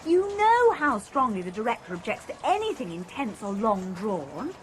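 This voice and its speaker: A woman's voice: a little high, very animated, normal speed, a little hiss or hum, low-quality recording. An actress playing a gossipy, prim young woman who scolds her friend about convention. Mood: gossipy scolding.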